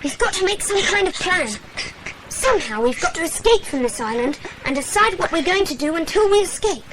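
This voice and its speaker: A woman playing a sensible, well-spoken British girl who briskly takes charge in a children's adventure audio drama. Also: very high-pitched, big pitch swings, very noisy. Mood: brisk, thoughtful.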